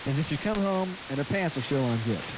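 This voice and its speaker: Animated radio co-host in heavy hiss. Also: male voice, on the high side, moderate pace. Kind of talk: loose comedic talk radio banter. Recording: hissy, muffled shortwave radio recording.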